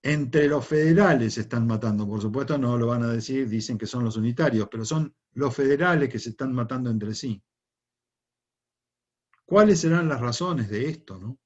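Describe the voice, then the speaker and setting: A man's voice: mid-range voice, normal speed, a little mellow, dull audio. A man with an Argentine accent teaching a history class, lecturing conversationally and often checking in with rhetorical questions. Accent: Argentine Spanish.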